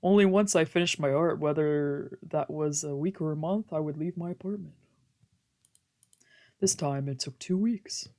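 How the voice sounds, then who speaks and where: A woman's voice: low voice, moderate pace, dark tone. A woman reading a visual novel aloud for a let's play, moving between story narration and casual, slangy asides. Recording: music playing behind the voice.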